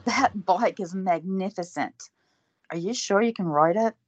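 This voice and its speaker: An actress playing an excitable, nervous young woman in love, in an audio drama. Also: mid-range voice, measured pace, lightly acted. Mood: excited. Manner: excitable, flustered. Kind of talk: amateur audio drama acting.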